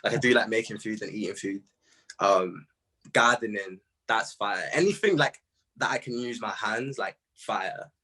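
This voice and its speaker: A young British man in a casual interview, telling stories in slangy, animated speech full of quoted asides. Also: on the low side, moderate pace, slightly rough. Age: young adult. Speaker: music artist being interviewed.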